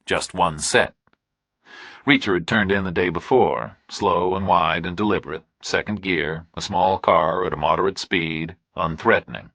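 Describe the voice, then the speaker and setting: A man reading a scripted thriller audiobook with measured, clipped, dramatic phrasing. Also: low voice, fairly bright tone, dry recording. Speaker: audiobook narrator.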